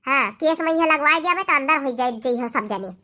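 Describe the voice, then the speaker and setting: A woman's voice: high voice, lively intonation, a little fast, pushed and bright. A woman voicing a fiery, combative young village sister-in-law in an Awadhi comedy cartoon, scolding in an Awadhi accent. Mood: bossy, threatening. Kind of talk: Hindi-belt comedy cartoon dialogue.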